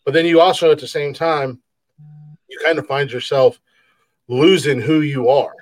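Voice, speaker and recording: Casting: man in his thirties, big booming voice, rambling podcast co-host, very dry close sound. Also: fairly even intonation, moderate pace, no room sound. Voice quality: big loud voice. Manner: rambling, repeats words, laughs often. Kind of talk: casual podcast chat.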